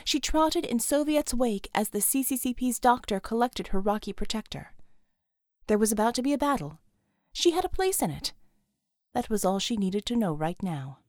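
A woman narrates an action audiobook in a dramatic, scripted style. Her voice is fairly high, and the recording is very dry and close. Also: sing-song, moderate pace, no echo.

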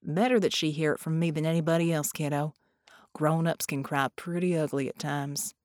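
A woman's voice: deep voice, fairly even intonation, moderate pace, no echo, natural voice. Audiobook ghost, male played by a woman: slangy, plainspoken, protective comrade, close dry sound. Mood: wry, gentle. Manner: folksy, slangy, blunt. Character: gruff, protective male ghost, blunt but consoling.

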